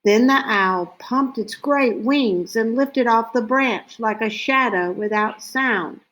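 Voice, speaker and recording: A woman reading a children's story aloud in a deliberate way, with frequent pauses between short phrases. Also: on the high side, fairly bright tone. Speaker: storybook reader.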